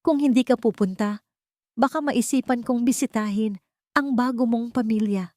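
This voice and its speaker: A woman's voice: on the high side, moderate pace, a little mellow, dry recording, voice pushed up, close to an everyday voice. A menacing blackmailer of an ex-boyfriend played by a woman in an audio romance drama. He is smug and taunting, pressing his threats with desperate greed. The sound is dry and close.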